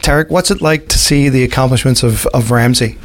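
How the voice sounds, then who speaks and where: A man's voice: mid-range voice, fairly quick. A man hosting a morning radio show, asking friendly chatty questions in an interview.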